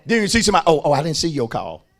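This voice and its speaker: A man's voice: on the high side, big pitch swings, rapid-fire, a bit bright. A man preaching as a pastor, emphatic, repeating phrases and calling on his listeners to respond. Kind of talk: sermon.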